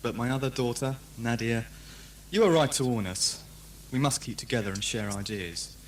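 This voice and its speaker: A man's voice: mid-range voice, normal speed, close to an everyday voice. A man playing a defiant condemned father plotting a prison escape in an amateur audio drama, speaking in a solemn, formal, declamatory way. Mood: grave, resolute. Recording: noisy hum, old cassette fan production.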